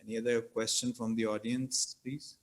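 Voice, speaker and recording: A middle-aged man, chairing a conference Q&A over a video call in a measured way, with fillers. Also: mid-range voice, little pitch movement, very noisy. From Pakistan. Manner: measured, with fillers and repeated phrases. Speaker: session chair. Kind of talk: moderating a conference Q&A. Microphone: laptop mic on a video call.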